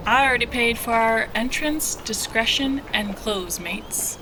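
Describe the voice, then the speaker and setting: A woman's voice: on the high side, measured pace, noisy background, lightly acted. A woman playing a brash, sly smuggler and streetwise guide in an audio drama, talking in slangy, taunting, irreverent lines. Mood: knowing.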